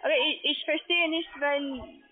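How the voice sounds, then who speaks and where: A woman speaking non-native German as a call-centre agent, insistent, halting and repeating herself on a sales call. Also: high-pitched, steady tone, faint music behind. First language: not German. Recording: tinny narrowband phone line.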